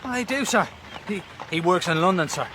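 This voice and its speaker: Garrulous Irish servant, fairly high voice. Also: male voice, expressive, normal speed, bright, forceful tone. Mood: chatty, deferential. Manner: chatty, colourful, deferential. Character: garrulous, deferential Irish servant and coach driver. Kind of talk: radio drama acting. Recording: noisy outdoor vehicle sound effects.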